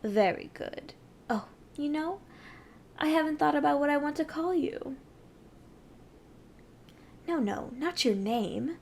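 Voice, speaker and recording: A woman's voice: high-pitched, lively intonation, slow pace, creaky, long pauses, a little mellow, slight background noise, heavily acted. A woman playing a haughty, condescending evil sorceress queen in an ASMR roleplay, with an imperious, theatrical and mocking delivery. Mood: smug, possessive.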